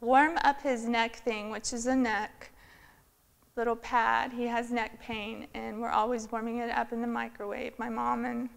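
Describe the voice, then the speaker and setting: A woman's voice: a little high, little pitch movement, unhurried, creaky. A middle-aged woman sharing her personal testimony with earnest, heartfelt storytelling at a church women's event, speaking from a lectern in a large church sanctuary. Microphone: filmed by a far camera.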